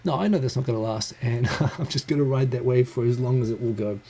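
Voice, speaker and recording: Man, New Zealand accent, dark mellow tone, rambling self-deprecating chat, close handheld mic. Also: medium pitch, breathy edge. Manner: rambling, self-deprecating, talks fast with restarts. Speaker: livestream host. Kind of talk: casual solo live chat. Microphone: handheld USB recorder mic close. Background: computer fan hum.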